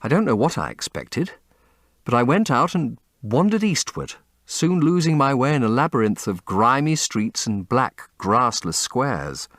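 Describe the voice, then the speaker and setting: A man voicing an impressionable, earnest young English gentleman with an upper-class accent and florid manner, in an audiobook. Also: mid-range voice, very animated, measured pace, no echo, clean studio sound, full character voice. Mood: ardent, excited. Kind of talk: audiobook character reading.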